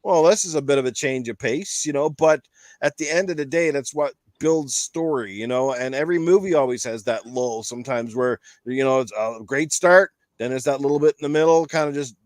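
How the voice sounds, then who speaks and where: A man's voice: medium pitch, brisk, no room sound. Opinionated man chatting, close dry sound. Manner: rambling, opinionated, emphatic repetition. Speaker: podcast panel host. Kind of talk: casual opinion chat about games and films.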